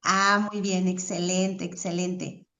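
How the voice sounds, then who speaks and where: A woman's voice: fairly low pitch, level tone, takes it slowly, bright, forceful tone. A woman teaching an online church class, speaking courteously and devoutly as she invites students to take part. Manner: courteous, devout, encouraging participation. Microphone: video call. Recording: dull, lo-fi video call audio.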